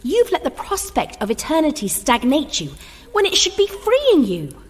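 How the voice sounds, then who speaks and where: A woman's voice: sits high, wildly swooping pitch, moderate pace, long reverb tail. An actress playing an ambitious, exasperated mortal woman hungry for power in an audio drama, speaking with urgent, insistent persuasion. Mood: scornful, frustrated. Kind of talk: comic audio drama acting.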